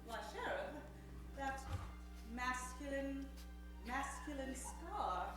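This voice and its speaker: A coy, high-voiced radio melodrama lady. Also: very animated, slow pace, full character voice. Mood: coy, flirtatious. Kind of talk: improvised old-time radio acting. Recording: echoey stage, faint music.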